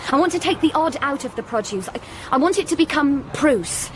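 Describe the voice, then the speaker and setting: An actress playing a wry, scheming young woman who narrates and talks in a deadpan, wordplay-heavy radio comedy drama. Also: high-pitched, fairly quick, a little hiss or hum. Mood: exasperated.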